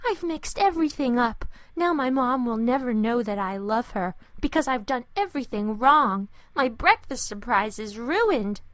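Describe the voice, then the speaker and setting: A woman voicing an eager, easily upset young boy in a children's storybook, in an expressive way. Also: fairly high pitch, normal speed, few pauses, dry recording. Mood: dismayed.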